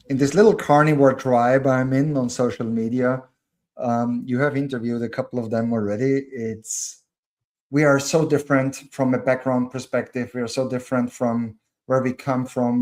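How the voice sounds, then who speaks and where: A man with a German accent speaks as a podcast guest at an ordinary middle pitch. He talks earnestly, circling back on his words as he thinks aloud. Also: a little mellow. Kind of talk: casual conversation.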